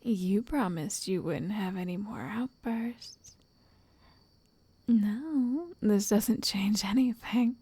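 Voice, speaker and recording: A woman playing a possessive, lovestruck witch who coaxes and controls in a soft, breathy, often whispered ASMR roleplay voice. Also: mid-range voice, dry recording. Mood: gently commanding. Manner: slow, tender, condescending endearments.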